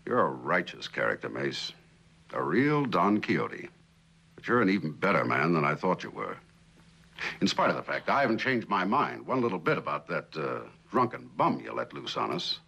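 A blunt company boss in middle age from a 1960s television drama. His low voice is gravelly and swings widely as he needles with dry sarcasm. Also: big pitch swings, moderate pace, pushed and bright, full character voice. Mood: wry, grudging. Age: middle-aged. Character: sardonic, blunt company boss with grudging respect. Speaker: actor playing a company boss.

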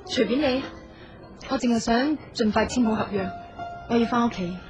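A woman's voice: on the high side, normal speed, close to an everyday voice. A woman with a Hong Kong Cantonese accent acting a proud, curt young designer in a radio drama. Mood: cool, businesslike. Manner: curt, aloof. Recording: dull audio with music underneath.

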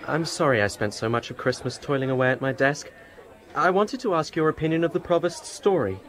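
An actor playing an earnest, credulous young university student, speaking politely in a radio drama. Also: male voice, a little high, brisk, fairly bright tone, a faint crowd, lightly acted. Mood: curious. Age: young adult.

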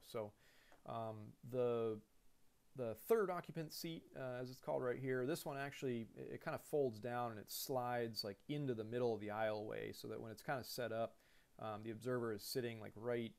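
Man, 30s, gravelly voice, airline pilot, casual tutorial, lots of fillers, desk recording. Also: lowish voice. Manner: relaxed and conversational, heavy with fillers and restarts. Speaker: airline pilot giving a cockpit tour. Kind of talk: casual screen-share lesson. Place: indoors at a computer.

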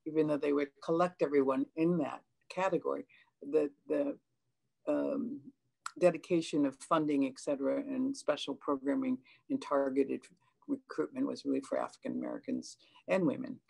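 Older woman, chinese first language, really gravelly voice, earnest, lots of restarts, video call from home. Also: very deep voice, moderate pace, a little mellow, rough recording. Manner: earnest, frequent hesitations and restarts. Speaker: panelist. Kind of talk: personal account on a panel. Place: home room, indoors.

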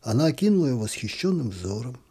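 Soft-spoken man reading a story aloud. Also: medium pitch, sing-song, fast talker, slightly rough. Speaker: audiobook narrator.

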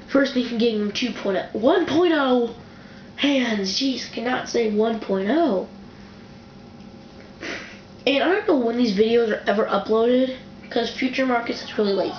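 A woman reviewing a building toy she built, speaking in a casual, rambling way from off camera, often correcting herself mid-sentence. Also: on the high side. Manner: rambling, self-correcting, excitable. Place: indoor room with a wooden floor, off camera. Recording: dull, echoey home camera recording with faint hiss.

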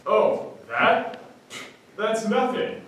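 A man's voice: sits high, big pitch swings, extremely slow, fairly bright tone, heavily acted. A young man on stage playing a nosy, wisecracking sitcom neighbor with exaggerated, theatrical delivery. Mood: teasing, curious. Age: young adult. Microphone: far camera. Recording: very echoey theater, distant camera, faint noise.

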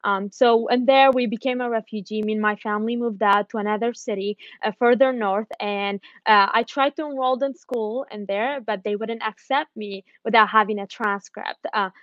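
A woman's voice: on the high side, steady tone, normal speed, hardly any pauses, fairly bright tone, dry recording. A young woman from northern Iraq, a student activist, telling her story earnestly on a video call with frequent ums and restarts. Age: young adult. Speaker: guest speaker, education activist. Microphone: video call webcam. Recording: dull dry webcam sound.

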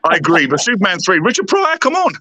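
A man's voice: sits high, huge pitch swings, quick pace, pushed and bright, dry recording. An English man in his fifties, a guest on a video-call chat, chatty and self-deprecating with stammering restarts. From England. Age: 50s. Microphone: webcam on video call.

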